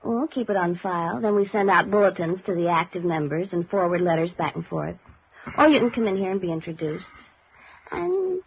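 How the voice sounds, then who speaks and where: Husky, brassy office clerk, vintage radio. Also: female voice, fairly low pitch, lively intonation, fairly quick. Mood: brisk, skeptical. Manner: blunt, slangy, defensive. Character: wary, brassy woman clerk at a lonely-hearts club. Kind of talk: 1950s radio drama acting. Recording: dull vintage 1950s radio recording.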